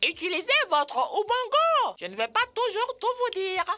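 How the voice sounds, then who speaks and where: High, swooping cartoon mother bird. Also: moderate pace, exaggerated voice. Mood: instructive. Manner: expressive, instructive. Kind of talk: animated educational cartoon dub.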